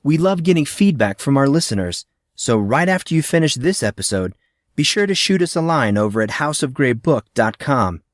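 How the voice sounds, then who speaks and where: A man reading scripted fiction as a podcast narrator, with a measured storytelling delivery. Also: mid-range voice, big pitch swings, dry recording, clean studio sound. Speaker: audiobook narrator and podcast host.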